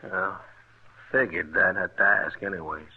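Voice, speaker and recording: A man acting a rough, plain-talking cowhand in an old-time radio western, husky, folksy and laconic with an American western drawl. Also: low voice, fairly even intonation, a little slow, exaggerated voice. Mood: sullen. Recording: muffled old radio recording.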